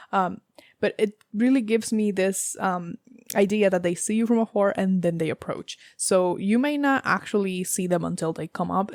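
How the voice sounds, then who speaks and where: Young woman doing a chill tarot reading, kinda raspy from being sick, lots of ums, dry close sound. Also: medium pitch, darkish tone, no echo. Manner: rambling, filler-heavy, casual swearing. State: raspy from a sore throat. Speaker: tarot reader. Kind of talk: casual pick-a-card tarot reading.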